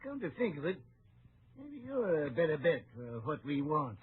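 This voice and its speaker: A man's voice: a little high, sing-song, normal speed. Genial older townsman, tinny radio. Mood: genial, earnest. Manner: genial, hesitant, folksy. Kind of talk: old-time radio western acting. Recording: noisy, tinny old radio recording.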